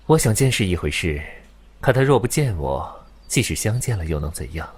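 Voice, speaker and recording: A melancholy celestial prince speaks low in a husky voice. He is restrained and formally polite, a lovelorn noble from a costume radio drama. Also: sing-song, a little fast, full character voice. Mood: wistful. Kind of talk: Chinese costume audio drama acting.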